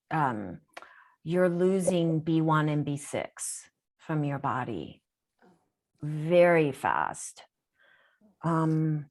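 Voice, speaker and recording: A woman with a low voice gives a caller advice. She explains at length and often restarts her phrases. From Japan. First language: Japanese. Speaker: healer answering a caller. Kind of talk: live group call, casual guidance.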